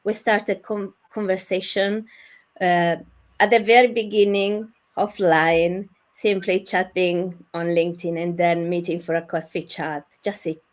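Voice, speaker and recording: A woman from Italy with an Italian accent, hosting a live online chat over a video call in slightly halting English. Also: lowish voice, little pitch movement. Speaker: host and career coach.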